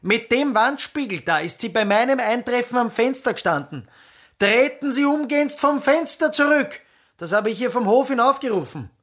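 A man's voice: very high-pitched, expressive, moderate pace, fairly bright tone, full character voice. A man playing a brusque, commanding police inspector with an Austrian accent in a radio drama, speaking in curt official orders. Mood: stern, explaining.

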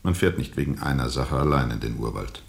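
An actor playing a world-weary steamboat captain who tells of his journey gravely and reflectively, with heavy pauses, in a radio drama. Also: extremely low pitch, lively intonation, heavily acted. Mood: terse, reflective. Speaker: narrator and lead character.

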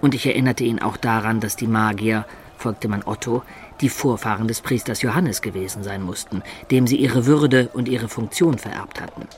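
A man's voice: on the low side, moderate pace, slight background noise, natural voice. An actor playing a young male adventurer who recounts his tale in a matter-of-fact way in a radio play. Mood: narrating. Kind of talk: German radio play narration and dialogue.

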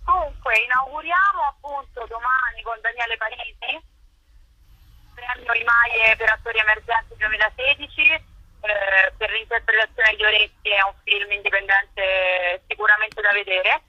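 Bright, husky woman on the phone. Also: on the high side, steady tone, moderate pace, no echo. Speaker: interviewed festival curator and performer. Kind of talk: live radio phone interview. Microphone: telephone. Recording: tinny roomless phone line.

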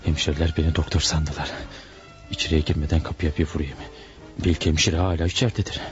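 A stealthy hitman in a doctor's disguise, with a very deep voice. He narrates his cold plan deliberately, step by step, in radio theater style over faint music. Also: steady tone. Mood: furtive, intent. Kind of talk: radio theater acting, thinking aloud.

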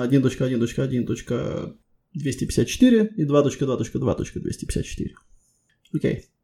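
A man conducting a conversational technical interview, setting out his questions deliberately, step by step. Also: medium pitch, vocal fry, warm tone.

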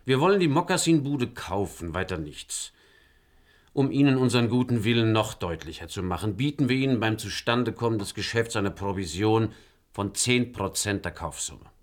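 An actor playing a smooth, calculating corporate manager in a German radio drama, polite and insinuating. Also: male voice, fairly low pitch, animated, normal speed, close to an everyday voice. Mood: smug, threatening.

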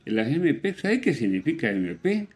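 A man with an Argentine Spanish accent hosting a radio show, commenting casually on music with opinions in a rambling, halting way. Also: on the high side, sing-song, a little mellow. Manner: rambling, hesitant with self-corrections and rhetorical questions. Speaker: radio host.

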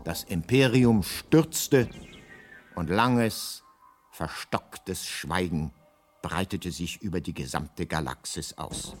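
A man narrating a science fiction radio play as a guidebook, with measured, mock-epic delivery and deliberate pauses. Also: lowish voice. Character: grandly ironic guidebook narrator.

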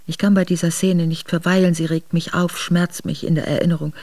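A woman's voice: on the low side, little pitch movement, brisk, a little mellow, no echo, natural voice. An actress in a radio drama playing a steadfast aristocratic wife who recounts her memoirs in composed, formal narration. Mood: pained, restrained. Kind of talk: literary first-person narration in a radio drama. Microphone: close dry studio microphone.

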